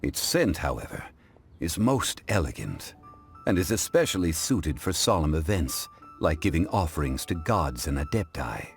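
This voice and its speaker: A deep-voiced, dignified gentleman scholar speaks with formal, measured courtesy. His lecturing tone is composed yet richly inflected, in the style of an anime game, with music underneath. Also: very animated, gravelly, heavily acted. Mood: calm, instructive. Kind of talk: English anime game dub acting.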